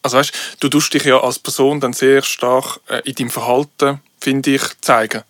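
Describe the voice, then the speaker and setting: Relaxed Swiss man with a middle voice. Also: mid-range voice, moderate pace, dry recording, clean studio sound. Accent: Swiss German. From Switzerland. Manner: relaxed, reflective, chuckling. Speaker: podcast co-host. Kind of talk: casual conversation.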